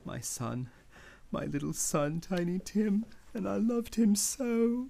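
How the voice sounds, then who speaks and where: An actor playing a gentle grieving father in an old-time radio drama, soft and tender. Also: sits high, very animated, slow pace, creaky, exaggerated voice. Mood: tender grief.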